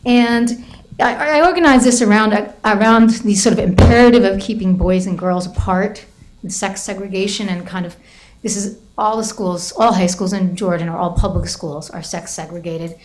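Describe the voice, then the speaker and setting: A woman's voice: medium pitch, fairly even intonation, normal speed, rough recording. A middle-aged woman giving an academic talk about her book, telling classroom stories with lots of hedging and restarts. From United States. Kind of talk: academic lecture with anecdotes.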